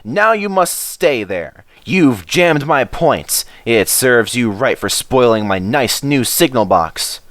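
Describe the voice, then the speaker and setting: Man playing a furious, slow-scolding railway signalman. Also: medium pitch, animated, a bit bright, dry recording, pitched above the performer's own voice.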